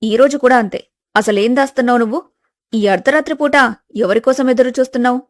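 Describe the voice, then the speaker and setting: A woman's voice: a little high, fast, a little mellow, no room sound, studio quality, natural voice. A woman voicing a haughty, suspicious elder daughter-in-law with an accusing, sarcastic manner in a village family story.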